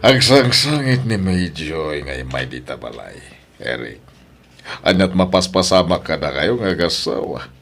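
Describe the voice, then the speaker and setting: A man's voice: extremely low pitch, very animated, a little slow, gravelly, fairly bright tone, soft background music, full character voice. A man playing a concerned, reproving grandfather in an Ilocano radio drama.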